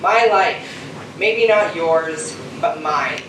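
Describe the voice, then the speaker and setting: A young male actor as an earnest, sentimental astronaut, speaking slowly and theatrically. The voice carries through a very echoey space with clear noise behind it. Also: on the high side, fairly bright tone. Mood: earnest, wistful. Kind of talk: theatrical stage acting. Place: stage of a school theater. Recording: very echoey stage, distant mic, noisy.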